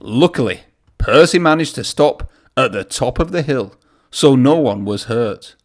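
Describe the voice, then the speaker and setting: A man's voice: mid-range voice, animated, unhurried. A man reading a children's story aloud in a narrating style. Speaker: storybook reader.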